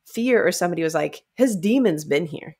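A woman in her thirties co-hosting a book club, speaking in a casual, expressive way peppered with "like". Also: lowish voice, brisk, slightly rough. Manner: expressive, frequent "like", acts out reactions. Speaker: book club podcast co-host. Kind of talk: casual chatty book discussion. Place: indoors, home recording setup. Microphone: close podcast mic.

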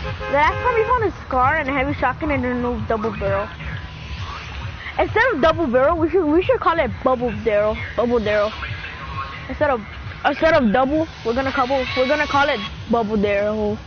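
A woman's voice: sits high, lively intonation, a little fast, lo-fi sound, music in the background. A young woman chatting casually while streaming a video game with a friend, giggling, teasing and repeating herself. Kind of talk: casual gaming chat.

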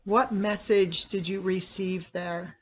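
A meditation guide speaking in a measured, instructional way with long pauses between phrases. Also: mid-range voice, fairly even intonation, a bit bright. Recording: dull, lo-fi recording.

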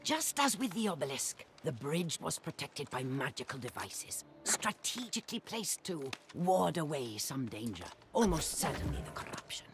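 A woman playing a thoughtful, studious scholar in a video game, musing in a measured way. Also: deep voice, very animated, noisy background. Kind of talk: scripted game voice acting.

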